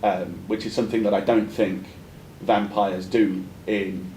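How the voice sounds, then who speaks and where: A man's voice: steady tone, unhurried, mellow. A man asking an academic question at a conference, speaking in a polite, hesitant and discursive way. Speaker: audience questioner. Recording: echoey room with faint rumbling noise.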